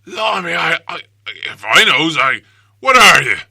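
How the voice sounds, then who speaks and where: A man voicing big, dim, quarrelsome trolls in a storybook, with a rough gravelly Cockney delivery. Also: on the high side, big pitch swings, dry recording, studio quality, full character voice. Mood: startled. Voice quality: gravelly, forceful. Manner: slow-witted, rough, blustering speech.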